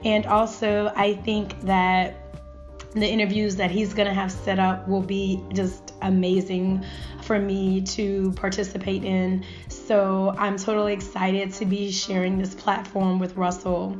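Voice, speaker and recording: A woman hosting a podcast who is a bit hoarse today, talking enthusiastically with some hesitant fillers. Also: mid-range voice, completely flat delivery, measured pace, darkish tone, dull audio, soft background music. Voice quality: raspy. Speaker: podcast host.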